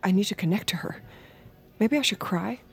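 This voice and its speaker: An actress playing a watchful, manipulative escort in an intimate, measured audio drama. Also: female voice, mid-range voice, creaky, faint music behind, natural voice. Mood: calculating. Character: cool, watchful, manipulative woman escort narrating her thoughts. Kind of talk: intimate scripted audio drama narration and dialogue.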